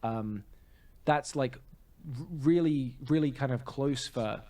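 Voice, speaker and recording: A man speaks as a podcast co-host in loose, conversational review mode. His delivery rambles and halts, often doubling back to rephrase as he goes. The pitch sits in an ordinary middle range for a man. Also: takes it slowly, a loud crowd. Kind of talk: casual film review chat.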